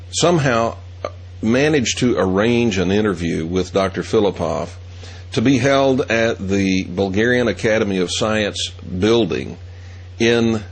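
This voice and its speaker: Male host, middle-aged, lowish voice, deliberate storyteller. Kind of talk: monologue.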